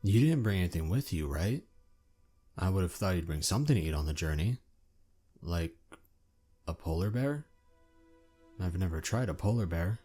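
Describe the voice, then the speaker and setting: Casting: male actor as hungry young dragon, low husky voice, blunt and eager, fantasy audio drama, music underneath. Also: animated, normal speed, pauses often, warm tone. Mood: disappointed, nosy. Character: eager, food-obsessed young male dragon, tactless and enthusiastic.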